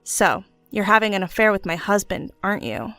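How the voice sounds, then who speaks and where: A woman's voice: mid-range voice, very animated, fairly quick, bright, forceful tone, no room sound, soft background music. A woman playing a firm, composed wronged wife who speaks curtly in an audio story. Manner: composed, curt.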